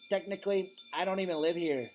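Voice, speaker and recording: A man's voice: high voice, fast, darkish tone, faint music behind, pitched above the performer's own voice, natural voice. A man voicing a sleepy, proud animal friend with a dry, dismissive manner in a children's storybook. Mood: haughty. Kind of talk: children's novel read aloud.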